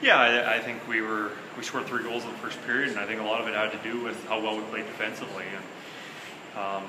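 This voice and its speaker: A man in his thirties, a hockey head coach answering postgame questions in a measured, hesitant way with many fillers and restarts. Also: lowish voice, little pitch movement. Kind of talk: postgame press conference. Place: small interview room before a sponsor backdrop. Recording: echoey room with loud air-conditioning hum.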